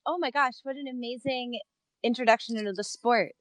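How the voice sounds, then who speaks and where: A woman hosting a podcast interview, enthusiastic and quick to laugh. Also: fairly high pitch. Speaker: podcast host.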